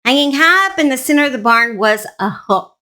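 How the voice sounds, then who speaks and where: A woman hosting a true crime show, telling a story in a measured narration with informal personal asides. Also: sits high, lively intonation, sharp tone. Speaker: true crime channel host.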